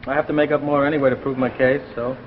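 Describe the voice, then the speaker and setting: A man's voice: mid-range voice, level tone, very fast, phone-quality sound, natural voice. Man acting harried 1950s American scientist, thin old recording. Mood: reassuring. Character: harried, absorbed male scientist and husband. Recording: thin, hissy 1950s broadcast soundtrack.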